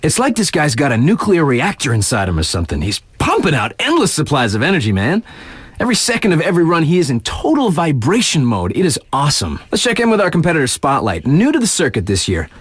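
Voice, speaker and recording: An actor playing a laid-back but excitable young radio DJ in a video game, hyping snowboard competitors in playful, conversational slang. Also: male voice, fairly high pitch, fast talker, slightly rough, no room sound, heavily acted. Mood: hyped, playful. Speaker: radio DJ and sports announcer.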